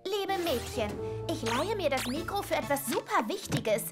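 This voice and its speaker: A woman voicing an upbeat, confident young girl in an animated cartoon, expressive and encouraging. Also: high-pitched, normal speed, over loud music. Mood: curious.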